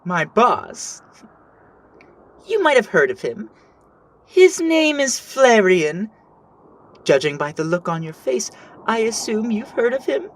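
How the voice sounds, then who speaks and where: A woman playing a smug, condescending female villain in an animated action story, with theatrical, drawn-out delivery. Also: fairly high pitch, full character voice. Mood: smug, gloating. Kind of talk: amateur animated action voice acting.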